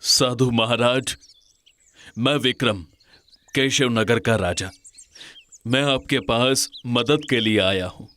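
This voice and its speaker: A man's voice: medium pitch, takes it slowly, long pauses, a bit bright, dry recording. A man playing an earnest, noble king in a cartoon moral story, speaking formally and respectfully. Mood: pleading, respectful.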